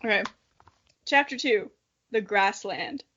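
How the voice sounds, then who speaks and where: A woman's voice: medium pitch, expressive, slow pace, fairly bright tone, muffled recording. A giggly, self-mocking woman co-hosting a podcast, chatting casually and reading a story aloud. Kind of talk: casual chat and amateur dramatic reading.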